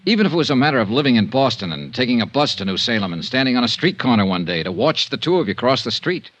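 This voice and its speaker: A man's voice: mid-range voice, very animated, fast talker, fairly bright tone. An American actor playing a blunt, world-weary male insurance investigator in a 1950s radio drama, both narrating and speaking in scenes. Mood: pressing, frank. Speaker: lead actor and narrator of a radio detective serial. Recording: dull band-limited 1950s radio recording.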